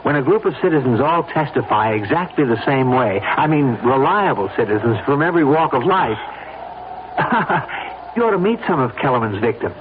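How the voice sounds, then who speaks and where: An actor playing a smooth-talking, insistent man who plots and persuades in a radio drama. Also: mid-range voice, expressive, normal speed, natural voice. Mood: eagerly persuasive. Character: scheming, persuasive man plotting a staged fatal accident. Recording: lo-fi phone-like radio broadcast, background noise.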